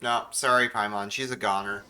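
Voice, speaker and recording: A man's voice: on the low side, fairly even intonation, normal speed, bright, forceful tone, soft background music. A man streaming a video game with casual, joking commentary and mock indignation.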